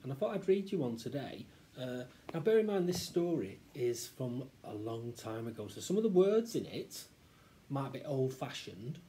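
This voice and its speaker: British man reading aloud with lively pitch. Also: normal speed, a little hiss or hum. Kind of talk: expressive read-aloud of a children's story. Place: small office, indoors.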